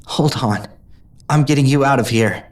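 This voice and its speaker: A man's voice: medium pitch, moderate pace, pitched above the performer's own voice, close to an everyday voice. A man doing a choked, stubborn young pegasus mare. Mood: urgent. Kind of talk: storybook reading.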